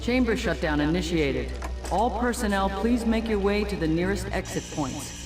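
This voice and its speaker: A man's voice: sits high, animated, normal speed. An actor voicing an impersonal male automated facility announcer, giving formal safety announcements in a video game over music. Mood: impersonal, urgent.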